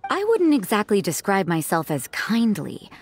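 A woman's voice: medium pitch, wildly swooping pitch, moderate pace. A woman voicing an aloof young nun who speaks in a cool, dry, sardonic way. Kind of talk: video game voice acting.

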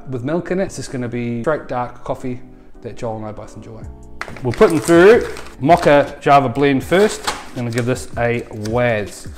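A man in his thirties with a New Zealand accent, chatting casually as he reviews coffee in his home kitchen. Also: mid-range voice. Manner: jokey, chatty, playful. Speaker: coffee show host.

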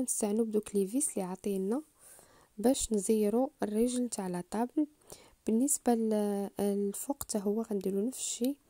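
Brisk dark-voiced Moroccan woman explaining. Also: mid-range voice, no room sound. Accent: Moroccan Arabic. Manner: unhurried, explanatory, addresses viewers directly. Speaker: home DIY channel host. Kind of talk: step-by-step assembly tutorial voice-over.

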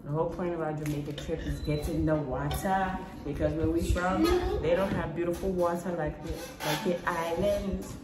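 A woman's voice: on the low side, fairly even intonation, moderate pace, hardly any pauses. A woman in her thirties chatting casually with her young daughter while cooking at home, playful and sing-song at times. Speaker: vlogger mother. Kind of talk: casual family vlog chat. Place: home kitchen, indoors. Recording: echoey kitchen with loud background noise.

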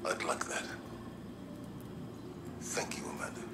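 A man's voice: heavy background noise, close to an everyday voice. Grieving, weary male commander played by an actor. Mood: subdued grief. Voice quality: deep, breathy. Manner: halting, heavy, restrained. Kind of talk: video game drama.

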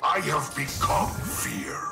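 Slow gravelly masked brute villain. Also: male voice, fairly high pitch, expressive, full character voice. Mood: menacing. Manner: slow, menacing, grandiose declarations. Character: hulking masked male villain, menacing arrogant brute. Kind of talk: video game villain acting. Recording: loud music behind, echoing sound.

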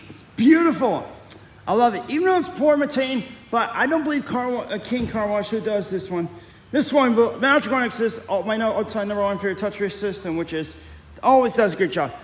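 A man's voice: high voice, expressive, normal speed, a little hiss or hum. A young man vlogging at a car wash, talking in a rambling way, repeating himself and restarting phrases, recorded on a handheld phone outdoors. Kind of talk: casual walkthrough vlog. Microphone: handheld phone camera.

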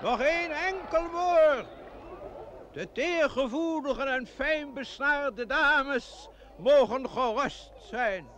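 A man's voice: very high-pitched, takes it slowly, a bit bright, exaggerated voice. A pompous village mayor in an old radio play. He is stubborn and hesitant, over a faintly noisy recording. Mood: pompous, ceremonious.

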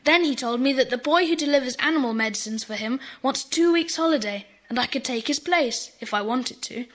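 Earnest high-voiced British schoolboy. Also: female voice, fairly quick. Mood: earnest, eager. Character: earnest, eager schoolboy in a children's club. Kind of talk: children's audio drama acting.

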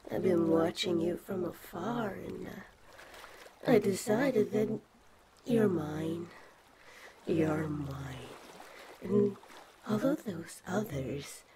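A woman playing a giant, possessive female kraken in a sweetly menacing, coaxing ASMR roleplay, with drawn-out, intimate delivery. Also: lowish voice, a loud crowd. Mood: possessive, cold.

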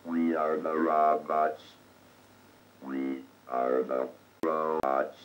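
A synthetic male robot voice from a vintage computer speech synthesizer, reciting lyrics in a flat, stilted, mechanical way with a buzzy tone. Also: extremely low pitch, extremely slow, loud music behind the voice, rough recording.

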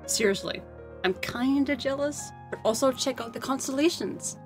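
A woman's voice: high-pitched, moderate pace, over music. A woman co-hosting a scripted commentary on a cartoon, in conversational banter. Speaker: co-host.

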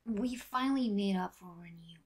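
A woman voicing a teasing, wistful teenage boy in a fan audio drama, breathy and often near a whisper, in a confiding manner. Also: medium pitch, normal speed, slight background noise, close to an everyday voice.

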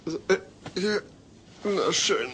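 A man's voice: high-pitched, expressive, very slow, sharp tone, a little hiss or hum, exaggerated voice. A man playing a mild middle-aged suburban husband in an audio drama, earnest, hesitant and easily flustered. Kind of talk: German audio drama dub acting.

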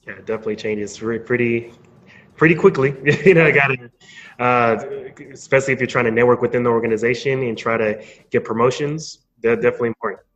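Male podcast guest, informal and halting, mid-range voice, slightly muffled lo-fi sound. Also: mid-range voice. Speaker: podcast guest, marketing speaker. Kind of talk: casual interview conversation.

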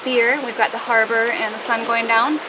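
A woman's voice: on the high side, little pitch movement, moderate pace. A woman in her thirties chatting casually and informally to camera, like a travel vlogger. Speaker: vlogger, host. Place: outdoors on boats and waterside decks. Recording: outdoor recording with loud water and boat noise.

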